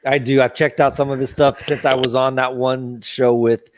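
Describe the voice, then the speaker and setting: A man's voice: mid-range voice, little pitch movement, moderate pace. An American man who teaches English, talking earnestly and reassuringly on a video call panel, often repeating words. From United States. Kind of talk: casual panel chat.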